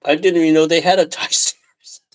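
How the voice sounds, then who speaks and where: A man from Taiwan hosting a casual live chat, talking in a halting, rambling way with frequent throat clearing. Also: a little high, little pitch movement, rough recording. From Taiwan, left as a little boy. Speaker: live stream host.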